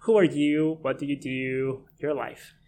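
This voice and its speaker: A man's voice: mid-range voice, little pitch movement, measured pace, darkish tone. A young man from Brazil hosting a podcast and interviewing in a casual, conversational way. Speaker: podcast host.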